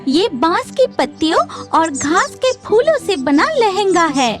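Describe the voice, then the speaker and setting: A woman voicing an earnest young cartoon weaver bird, sweet and expressive, with music behind her. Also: extremely high pitch, measured pace, heavily acted. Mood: eager, proud. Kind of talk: cartoon character acting.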